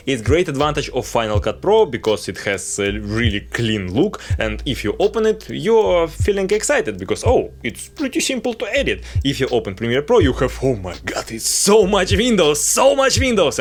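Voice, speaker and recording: A man's voice: a little high, big pitch swings, normal speed, soft background music. A young man whose first language is Russian, speaking English with a Russian accent, ranting in a frustrated, opinionated tech vlog full of fillers and short laughs. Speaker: video editing YouTuber.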